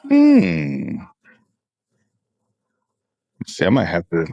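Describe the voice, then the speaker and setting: Male podcast host, notably deep voice, dry close sound, casual and plain-spoken. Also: huge pitch swings, takes it slowly, creaky, mellow, no room sound, highs cut off. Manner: profane, informal. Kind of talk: casual chat.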